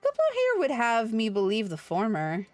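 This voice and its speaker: A woman's voice: on the high side, huge pitch swings, normal speed, darkish tone, dry recording, soft background music. A woman livestreaming a game, reading its dialogue aloud in character with theatrical expression and casual asides.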